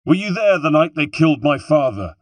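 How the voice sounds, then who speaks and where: A man voicing a determined, vengeful young gentleman swordsman in an audiobook, with a roaring, demanding delivery. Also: a little high, sing-song, fairly quick, no echo, far from the mic, pitched above the performer's own voice. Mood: vengeful challenge.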